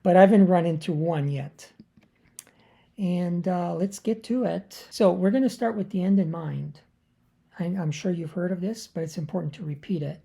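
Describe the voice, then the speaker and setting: A hoarse man with a raspy voice hosting a live sales webinar in a loose, conversational way, with frequent filler sounds. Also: sits high, normal speed, dark tone. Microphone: computer or dial-in mic on a live online call.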